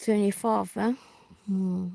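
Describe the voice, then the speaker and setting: A woman with a Singaporean accent chats on a relaxed outdoor live stream by the water. She speaks slowly and haltingly, often pausing on fillers and starting sentences over. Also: mid-range voice, gravelly, no room sound. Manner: chatty, halting, many fillers and restarts. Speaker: vlogger. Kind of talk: casual live-stream chat while fishing.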